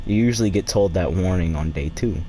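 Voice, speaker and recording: Deep-voiced guy streaming a game. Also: a little fast, mellow, a little hiss or hum, rough recording. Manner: rambling, crude, swears casually. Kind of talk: casual live-stream chat while gaming.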